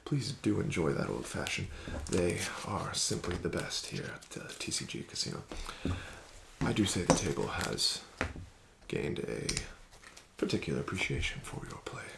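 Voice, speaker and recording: A man playing a casino card dealer in an ASMR roleplay, speaking softly with whispered phrases and formal, courteous, gently coaxing patter. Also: low voice, big pitch swings, moderate pace, gravelly, heavy background noise.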